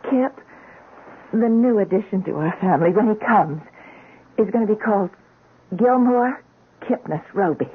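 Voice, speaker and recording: An actress playing a police sergeant's affectionate, emotional wife in a radio drama. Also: female voice, medium pitch, expressive, moderate pace, long pauses. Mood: tender, joyful. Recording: tinny band-limited radio recording, clear noise.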